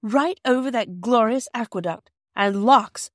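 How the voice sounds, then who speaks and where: A woman's voice: on the high side, unhurried, no echo, clean studio sound, voice pushed up. A woman voicing an enthusiastic, boastful schoolboy in a storybook reading, emphatic and full of exclamation. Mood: thrilled.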